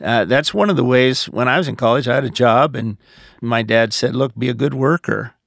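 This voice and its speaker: A man's voice: mid-range voice, moderate pace, gravelly, fairly bright tone, no echo, studio quality. A middle-aged or older man, a pastor, giving conversational advice on a podcast. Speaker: pastor answering listener questions.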